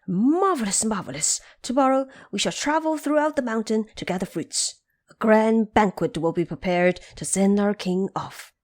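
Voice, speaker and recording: A woman's voice: medium pitch, animated, moderate pace, a little mellow, close to an everyday voice. A woman voicing a devoted, carefree monkey subject in a storybook drama, exclaiming theatrically. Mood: jubilant.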